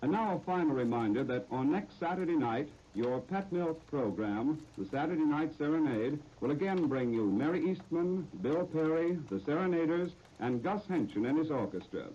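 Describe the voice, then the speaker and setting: A man's voice: on the high side, expressive, moderate pace, few pauses, dark tone. An American man working as a 1930s radio announcer, reading sponsor copy in a formal, persuasive way. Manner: formal, persuasive salesman phrasing. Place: radio studio. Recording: dull, noisy 1930s radio disc recording.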